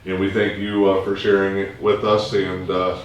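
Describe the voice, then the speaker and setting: A man hosting a casual local interview, with halting, hesitant phrasing. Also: low-pitched, monotone, muffled recording. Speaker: host and interviewer. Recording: roomy echo, music underneath.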